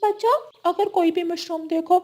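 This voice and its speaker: A woman's voice: very high-pitched, normal speed, darkish tone, pitched above the performer's own voice. A woman voicing a stern, sarcastic male schoolteacher bird in a cartoon, emphatic and scolding. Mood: instructing.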